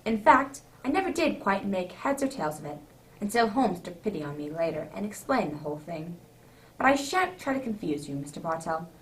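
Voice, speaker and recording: A woman playing a genial, easily flustered older English gentleman narrator in a radio drama, with avuncular, old-fashioned exclamations. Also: medium pitch, very animated, brisk, lightly acted. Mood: storytelling. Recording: distant microphone.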